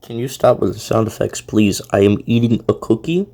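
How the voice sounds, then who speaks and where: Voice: mid-range voice, animated, a little fast, dark tone. Someone giving casual, exclamatory reaction commentary as an online video host, often repeating words for emphasis.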